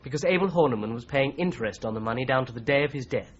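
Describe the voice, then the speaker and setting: An actor playing a shrewd, methodical solicitor in a 1980s radio drama, with an English accent and a precise, measured, probing manner. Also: male voice, medium pitch, lively intonation, slightly rough. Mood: firm, pressing. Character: shrewd, methodical male solicitor turned amateur investigator. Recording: slightly dull 1980s radio recording.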